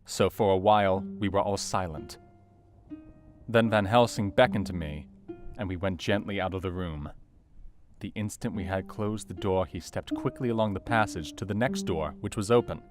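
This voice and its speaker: Man acting low-voiced, sombre young doctor narrating. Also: moderate pace, natural voice. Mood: grave. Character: grave, observant young doctor recounting his diary. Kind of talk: dramatised scripted narration. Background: soft guitar music.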